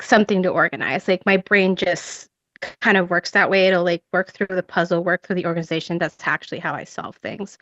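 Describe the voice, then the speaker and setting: A woman in her thirties, raised in Ecuador, talks loosely and chattily as an interview guest, scattering "like" through her sentences. Her voice sits at a middle pitch. The recording is close and dry but slightly dull. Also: a bit bright, dry recording. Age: 30s. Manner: chatty, frequent "like" fillers, laughs often. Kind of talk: casual interview chat.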